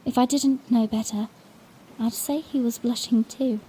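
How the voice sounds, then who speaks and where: A woman's voice: a little high, steady tone, a little slow, warm tone, slight background noise, close to an everyday voice, low-quality recording. A woman playing a bashful young princess, telling her own story tenderly and earnestly in a fan-made audio drama. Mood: bashful, recounting.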